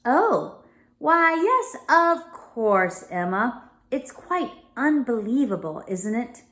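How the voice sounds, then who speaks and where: Woman voicing a wide-eyed, high-pitched girl. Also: very animated, slow pace, fairly bright tone, heavily acted. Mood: feigned delight. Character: wide-eyed young girl, astonished and eager to play along.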